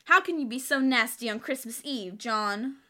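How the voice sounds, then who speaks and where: A woman's voice: fairly high pitch, animated, normal speed, bright, forceful tone. A woman playing a nagging, wounded housewife in an old-time radio comedy, exasperated and theatrical. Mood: indignant.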